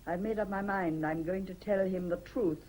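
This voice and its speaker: A woman's voice: mid-range voice, fairly even intonation, moderate pace, a little mellow, close to an everyday voice, low-quality recording. An actress playing an earnest, determined woman in a fraught love affair, direct and intense, in a radio drama. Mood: cold, accusing. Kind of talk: British radio drama acting.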